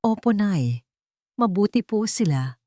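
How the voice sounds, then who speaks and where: A woman's voice: medium pitch, sing-song, a little slow, dark tone, no room sound, voice pushed up. Woman narrator doing a meek humble maid, pleading, devoted daughter type, audiobook drama, dry. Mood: holding back tears.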